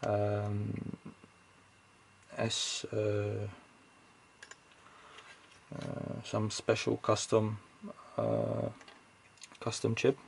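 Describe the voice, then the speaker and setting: Low, creaky-voiced man thinking aloud. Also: monotone, drawn-out words, long pauses, a little hiss or hum. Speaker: electronics teardown host. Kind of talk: casual off-the-cuff technical commentary.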